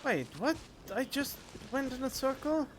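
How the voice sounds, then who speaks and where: A man's voice: very high voice, lively intonation, a little slow, fairly bright tone, slight background noise. A young man giving casual, informal let's play commentary, half talking to himself as he streams a game. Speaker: gaming streamer.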